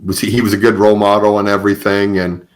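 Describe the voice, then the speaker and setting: A man's voice: fairly low pitch, level tone, quick pace, low-quality recording. A man hosting a conversational podcast interview, speaking in hesitant phrases with frequent acknowledgements. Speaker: podcast host and author.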